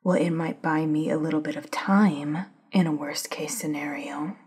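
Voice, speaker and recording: A woman acts out a defiant, foul-mouthed woman in an intimate audio drama. Her voice sits low and very husky, with breath all through it. She is stubborn and reckless. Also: steady tone, moderate pace, a little mellow. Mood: stubborn, determined. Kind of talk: ASMR audio drama acting.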